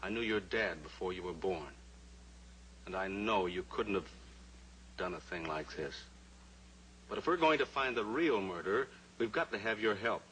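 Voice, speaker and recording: A steady, reassuring rancher-pilot hero in an old radio drama, his low voice carrying a bright, forceful edge. He speaks calmly and firmly. Faint hiss sits under the old recording. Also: normal speed, long pauses, rough recording. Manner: calm, reassuring and firm. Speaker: lead character. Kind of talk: 1950s radio drama acting.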